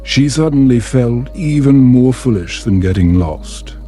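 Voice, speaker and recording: A man narrating a calm bedtime story in a measured, soothing storybook manner. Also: medium pitch, faint music behind.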